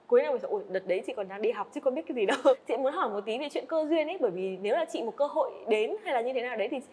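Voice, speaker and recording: A woman's voice: on the high side, animated, rapid-fire, darkish tone, husky. A woman hosting a podcast interview, asking questions in a friendly way. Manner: friendly, frequent listening "ừ" and laughs. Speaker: podcast host. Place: indoor studio set.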